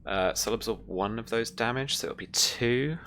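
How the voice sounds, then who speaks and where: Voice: medium pitch, moderate pace, a bit bright, slight background noise. A game master running a tabletop roleplaying game, informal in manner, narrating the action.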